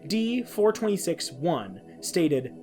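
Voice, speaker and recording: A man's voice: sits high, big pitch swings, slow pace, darkish tone, soft background music. A man who hosts a podcast, speaking on his own. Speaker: podcast host. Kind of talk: dramatic reading of a science-fiction horror log; casual podcast sign-off.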